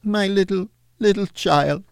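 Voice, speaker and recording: A man voicing a poor, gentle father who speaks tenderly and breaks with grief. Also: high-pitched, fairly even intonation, normal speed, no echo, voice pushed up, close to an everyday voice. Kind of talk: audiobook character voice.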